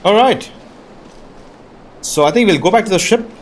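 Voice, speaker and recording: A man's voice: high voice, lively intonation, normal speed. A man giving casual commentary while playing a game, muttering offhand reactions and reading dialogue aloud. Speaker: gameplay streamer.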